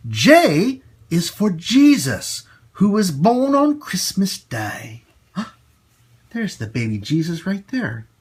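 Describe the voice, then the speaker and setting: Husky, very animated man hosting children's storytime. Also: fairly high pitch. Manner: animated, slow, emphatic delivery for young children. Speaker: storytime host and children's teacher. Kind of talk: expressive children's story reading and friendly announcements to kids.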